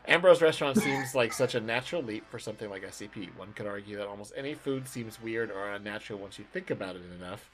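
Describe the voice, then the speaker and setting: A man's voice: on the low side, fast talker, few pauses, faint music behind, rough recording. A man co-hosting a podcast in casual chat, thinking aloud in a hesitant way. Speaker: podcast co-host.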